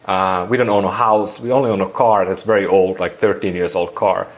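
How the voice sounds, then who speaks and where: Finnish-accented man, lowish voice. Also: steady tone, a little fast. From Finland. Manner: rambling, many restarts and fillers. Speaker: guest. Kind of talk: casual interview.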